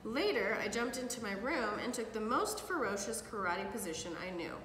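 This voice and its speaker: A middle-aged woman reading a picture book aloud to children with expressive, animated storytelling, recorded in a living room by a camera a few feet away. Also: fairly high pitch, moderate pace. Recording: echoey hard-walled room, some background noise.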